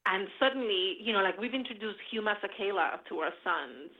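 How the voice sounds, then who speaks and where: A middle-aged woman from the Dominican Republic, chatting as a guest in an animated, enthusiastic way. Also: medium pitch, brisk. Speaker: podcast guest. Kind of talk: casual interview chat. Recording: tinny phone line.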